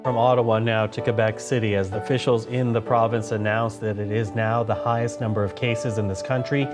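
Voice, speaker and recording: A man reading a broadcast news report in a crisp, formal way. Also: fairly low pitch, flat delivery, a little fast, over music. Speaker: news anchor.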